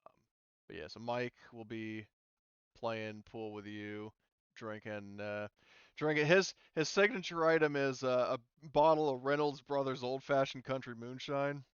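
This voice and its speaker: A man narrating a tabletop roleplaying game casually as game master, haltingly thinking aloud as he goes. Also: medium pitch, clean tone, no room sound, lo-fi sound. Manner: halting, thinking aloud while checking rules.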